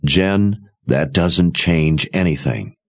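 A man's voice: low-pitched, slow. A man voicing a devious young male soldier in a fantasy audiobook, soothing and evasive. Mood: defensive.

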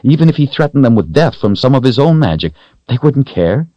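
A man's voice: mid-range voice, sing-song, brisk, warm tone, dry recording, lo-fi sound, pitched above the performer's own voice, lightly acted. A man voicing an earnest, knowledgeable woman in an audiobook, speaking with emphasis. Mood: emphatic disbelief. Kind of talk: audiobook character voice.

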